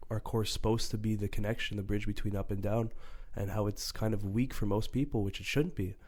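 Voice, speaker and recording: A man's voice: on the low side, steady tone, darkish tone. A man co-hosting a podcast in casual chat, easygoing and agreeable. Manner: easygoing, agreeable, quick to laugh. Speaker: podcast co-host.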